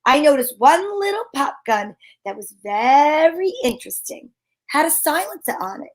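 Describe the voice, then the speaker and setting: A woman playing a sharp-witted, wisecracking private detective in an old-time radio drama, talking in breezy slang. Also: high voice, very animated, normal speed. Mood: wry, explaining.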